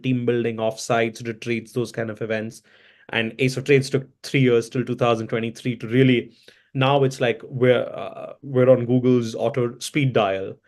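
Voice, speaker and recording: A hoarse man giving long, rambling interview answers, with many fillers and self-corrections. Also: medium pitch, a little mellow. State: hoarse from chanting. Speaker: interview guest, events entrepreneur.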